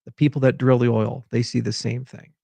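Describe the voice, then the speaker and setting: A man's voice: medium pitch, level tone, a little fast, creaky, warm tone, slightly rough, no room sound. A man from Hawaii delivering an exasperated, rambling unscripted monologue as a tech founder giving commentary.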